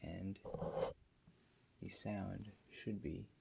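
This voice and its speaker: A soft-spoken, husky man doing an ASMR roleplay as a calm, reassuring doctor, explaining gently and without hurry, with pauses. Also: sits low, background noise. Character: calm, reassuring doctor guiding a therapy session. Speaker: ASMR performer playing a doctor.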